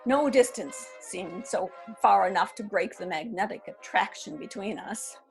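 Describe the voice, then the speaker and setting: A woman's voice: mid-range voice, moderate pace, creaky, faint music behind, natural voice, rough recording. A woman reading an aging woman who still pines for a lost love, in an earnest, wistful manner. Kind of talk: readers theatre reading.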